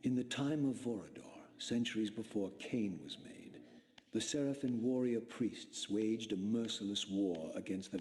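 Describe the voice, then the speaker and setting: An actor playing a bitter, eloquent undead vampire, narrating a video game with grave, measured, theatrical diction. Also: male voice, lowish voice, lightly acted. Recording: reverberant hall, faint noise.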